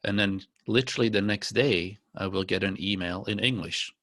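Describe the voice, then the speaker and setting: Middle-aged man, Dutch native, relaxed presenter with fillers; dry, close sound. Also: fairly low pitch, normal speed, no echo. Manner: relaxed, uses many fillers and restarts. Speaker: company founder presenting to partners. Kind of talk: corporate presentation.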